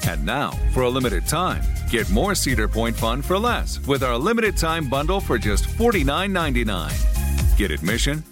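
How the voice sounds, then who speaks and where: A man reading a scripted promotional ad with a high-energy, punchy sales delivery. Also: big pitch swings, moderate pace. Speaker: advertisement announcer. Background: music.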